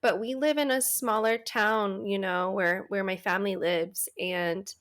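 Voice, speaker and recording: A woman's voice: medium pitch, fairly even intonation, a little slow, fairly bright tone. A woman in her thirties with an American accent, talking casually as an interview guest, chatty with frequent laughs and restarts. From Taiwan, raised in the United States. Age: 30s. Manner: chatty, laughs often, many fillers and restarts.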